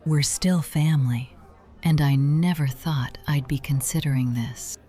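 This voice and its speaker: A woman playing an affectionate, teasing yet cautious mature love interest in a visual novel, breathy and intimate. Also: low-pitched, measured pace, mellow. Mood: tender, cautious. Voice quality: breathy, often whispery. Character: Nora, affectionate older aunt, teasing yet cautious lover.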